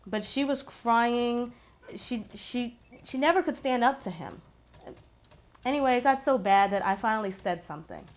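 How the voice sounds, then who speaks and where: A woman's voice: a little high, normal speed, lightly acted. An actress playing an aggrieved, curious ex-girlfriend giving naturalistic testimony about her lover in a film drama. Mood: hurt, defensive.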